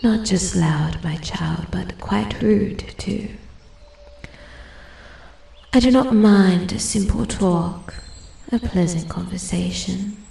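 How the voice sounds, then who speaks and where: A woman voicing an ancient immortal forest spirit, haughty yet intrigued, in a soft-spoken ASMR roleplay, with breathy, formal, measured speech that often drops to a whisper. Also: mid-range voice, roomy sound. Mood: intrigued, condescending. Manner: slow, measured, formal and condescending. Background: ambient forest sounds, birdsong.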